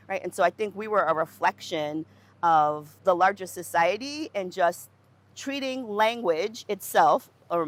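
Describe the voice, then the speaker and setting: Middle-aged woman, earnest, talks thru her thoughts, lots of "right?". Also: mid-range voice. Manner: earnest, thinks aloud with restarts and "right?". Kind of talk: conversational reflection.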